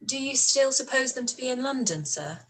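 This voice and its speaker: Woman playing an earnest young lady, high and husky. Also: lively intonation, fairly quick, rough recording. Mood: concerned. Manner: concerned, questioning. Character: caring, earnest young gentlewoman, a devoted daughter. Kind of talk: radio play live read.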